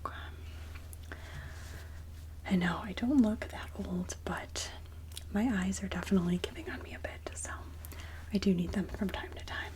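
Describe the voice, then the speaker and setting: A woman speaking softly and breathily, often near a whisper, in a gentle, polite ASMR roleplay as a kindly Mrs. Claus. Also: medium pitch, moderate pace, long pauses. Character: kindly Mrs. Claus interviewing a job applicant. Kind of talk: soft-spoken ASMR roleplay interview.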